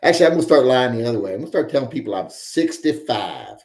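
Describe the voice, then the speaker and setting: A man's voice: medium pitch, big pitch swings, quick pace, darkish tone, reverberant. A man in his forties hosting a live stream from home, reading viewer chat and rambling with wry joking asides. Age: 40s. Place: home room with guns on the wall.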